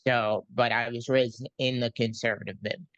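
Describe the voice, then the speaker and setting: A man from New York City interviewing a guest, speaking haltingly with many ums and pauses. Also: mid-range voice, fairly even intonation, fairly bright tone, dry recording, muffled recording. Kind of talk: casual conversational interview.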